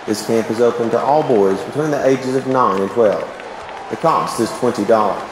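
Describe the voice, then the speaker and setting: A man's voice: medium pitch, a crowd in the background, background noise. Man announcing in an echoey gym. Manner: measured, clear announcer delivery. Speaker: public address announcer instructor. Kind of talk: instructional talk with sample PA announcements. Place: empty school gymnasium and green-screen crowd set. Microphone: handheld microphone.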